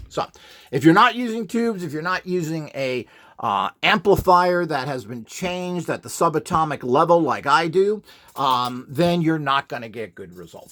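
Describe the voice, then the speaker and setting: A man's voice: on the high side, fairly bright tone. A man giving an informal explanatory tutorial, rambling and opinionated as he talks. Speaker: instructor and device builder.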